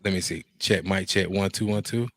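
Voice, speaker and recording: A man hosting a podcast interview, quick and encouraging, heard over an echoey remote line. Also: low voice, steady tone, fairly bright tone, a little hiss or hum. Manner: quick, encouraging, repeats words. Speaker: podcast host. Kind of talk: casual interview. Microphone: remote call mic with echo.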